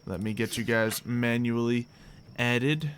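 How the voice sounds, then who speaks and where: A man's voice: medium pitch, slow, clear, resonant tone. A man working as game master, narrating a tabletop game in a casual, improvised way.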